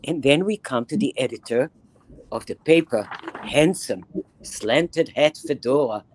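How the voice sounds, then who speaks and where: A woman in her eighties from Israel with a Bronx accent, lecturing a reading group over a video call in an animated, emphatic way, repeating phrases for effect. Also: extremely low pitch, unhurried, slight background noise, low-quality recording. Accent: Bronx New York. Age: 80s. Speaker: guest lecturer. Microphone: webcam on video call, close to the face.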